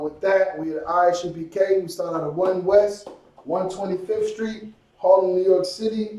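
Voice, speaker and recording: A man teaching a language class, drilling words slowly and quizzing his student. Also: sits high, dark tone, distant mic. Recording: echoey room, voice from off-mic.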